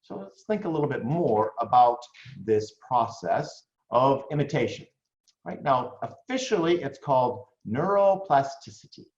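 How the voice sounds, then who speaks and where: An American man delivering a coaching talk with a lively, swinging pitch. He talks conversationally to his audience, tossing in frequent questions. The audio is roomy and a bit dull, like a lo-fi room recording. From United States. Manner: animated, conversational, frequent "right?" tags. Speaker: business coach.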